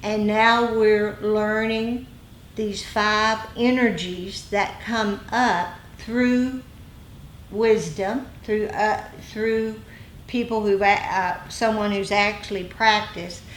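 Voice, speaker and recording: A woman giving informal dharma teaching to a group, explaining and pausing to find her words. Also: mid-range voice. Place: meditation group meeting room. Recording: echoey room, faint background noise.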